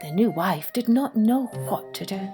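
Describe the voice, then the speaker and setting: A woman narrating a scripted children's story in an expressive way, dropping to a hush for suspense. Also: mid-range voice, normal speed, music in the background. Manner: expressive, hushed at suspenseful moments. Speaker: storyteller, podcast host.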